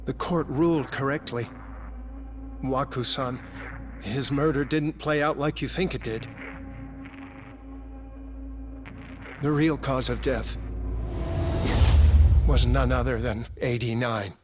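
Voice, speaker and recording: An actor playing a grave, zealous scientist in a video game cutscene, speaking in a measured, formal, insistent way. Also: male voice, medium pitch, steady tone, over music, lightly acted. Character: coldly obsessed male scientist, grave and zealous. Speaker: medical researcher.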